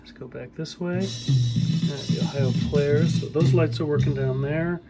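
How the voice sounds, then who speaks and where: A middle-aged man giving a casual hands-on demonstration of equipment he repairs, speaking off the cuff with hesitant pauses as he works. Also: a little high, loud music behind the voice. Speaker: hobbyist audio equipment repairer demonstrating a tape deck.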